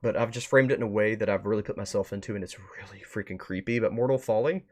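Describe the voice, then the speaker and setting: A male streamer with a fairly low voice talks casually to his viewers. He rambles and thinks out loud as he goes, in an informal, chatty host style. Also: fast. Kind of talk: casual livestream wrap-up chat.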